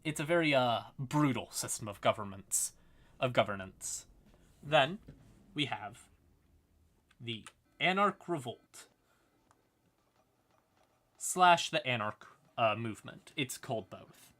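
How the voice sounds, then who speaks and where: Bright-voiced man casually explaining lore. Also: medium pitch, animated. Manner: casual, halting, wry, sweary asides. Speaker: streamer explaining fantasy game lore. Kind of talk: informal livestream lore explainer with asides to chat.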